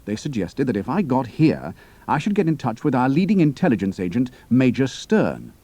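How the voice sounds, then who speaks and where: An actor playing a cool, capable young pilot and spy, speaking in a clipped, matter-of-fact way. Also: male voice, mid-range voice, very animated, normal speed, warm tone. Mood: earnest briefing.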